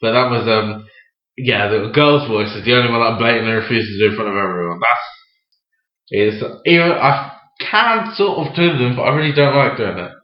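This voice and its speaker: A young man co-hosting a casual podcast, chatting in an animated way and slipping into playful voices. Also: medium pitch, brisk. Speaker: podcast co-host. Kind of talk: casual chat. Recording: echoey room, dull lo-fi sound.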